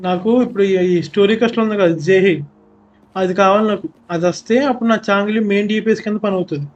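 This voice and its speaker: A young man with a Telugu accent giving quick, chatty live commentary while streaming a game. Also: sits high, fairly even intonation, dull audio, slight background noise. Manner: quick, chatty, mixing in casual asides.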